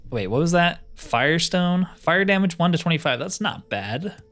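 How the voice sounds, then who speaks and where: A man's voice: on the high side, sing-song, normal speed, fairly bright tone. Casual man commentating over game music. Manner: casual, thinking aloud, self-deprecating humor. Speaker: gaming video host. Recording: music behind the voice.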